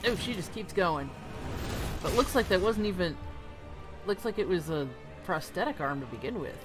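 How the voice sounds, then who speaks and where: A woman's voice: fairly low pitch, expressive, moderate pace, over music. A woman commenting bluntly and sarcastically on a live show as she streams it.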